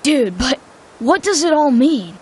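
A woman's voice: sits high, big pitch swings, a little slow, a little mellow. A woman voicing a laid-back, slangy kid detective in a cartoon game. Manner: slangy, casual.

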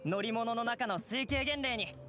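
High-pitched, earnest young brother. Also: male voice, a little slow, music in the background. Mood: friendly. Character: earnest young frail brother wanting independence. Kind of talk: Japanese anime game voice acting.

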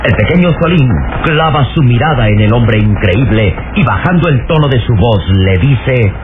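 A man's voice: mid-range voice, expressive, normal speed, narrow, tinny audio, very noisy, close to an everyday voice. A man narrating an old radio serial in a dramatic, scripted style.

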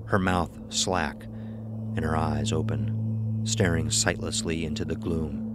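A man narrating a scripted horror story in a measured, ominous manner. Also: extremely low pitch, background noise.

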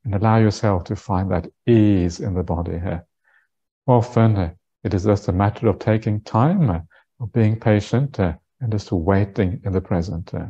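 A man in his fifties leads a guided meditation with a lowish, very mellow voice. He speaks gently and takes his time, pausing often and filling gaps with small uhs. The sound is a little muffled and lo-fi. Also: breathy edge. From Norway. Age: 50s. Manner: gentle, unhurried, halting with frequent pauses and uhs. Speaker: Buddhist monk, meditation teacher.

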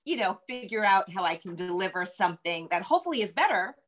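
A woman's voice: mid-range voice, big pitch swings, fast talker. A middle-aged American woman talking casually as an interview guest on a video call, thinking aloud as she goes. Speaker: guest novelist. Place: indoor room at home, video call.

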